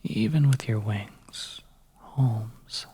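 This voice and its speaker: A storyteller narrating a suspenseful ASMR tale in a soft, breathy whisper, hushed and gentle with pauses. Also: medium pitch, slight background noise. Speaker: audio storyteller.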